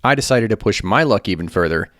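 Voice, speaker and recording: Deep-voiced man narrating a travel story. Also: background noise. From United States. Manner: calm, measured storytelling. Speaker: travel vlogger. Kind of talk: scripted voice-over narration. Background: background music.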